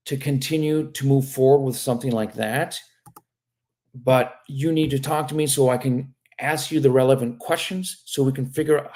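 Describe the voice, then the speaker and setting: A man's voice: medium pitch, a little mellow. A man working as an immigration lawyer, answering viewer questions on a live stream in a casual, frank way and often tagging on "okay?". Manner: reads viewer questions aloud and answers them.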